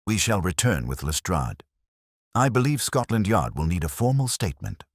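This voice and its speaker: A male narrator voices a shrewd, composed detective in a mystery story. He is very deep in pitch, measured and probing, with marked rises and falls and a dry sound. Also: very animated, no echo, heavily acted. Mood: resolute, brooding.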